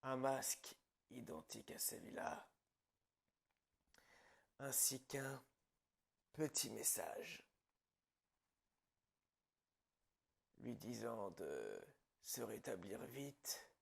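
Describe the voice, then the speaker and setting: A man playing a calm, gloating psychopathic killer in an ASMR horror roleplay, husky and gravelly, often dropping toward a whisper. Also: mid-range voice, full character voice. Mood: quietly menacing. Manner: slow, pausing, quietly menacing storytelling. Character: calm, gloating male psychopath killer confessing his story.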